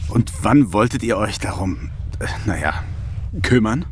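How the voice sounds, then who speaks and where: A man's voice: lowish voice, expressive, unhurried, a little hiss or hum. An actor playing a pressing, exasperated male crewmate on a spaceship in an audio drama. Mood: pressing, incredulous. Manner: pressing, questioning. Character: probing, exasperated male astronaut crewmate on a Mars ship. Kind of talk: German audio drama acting.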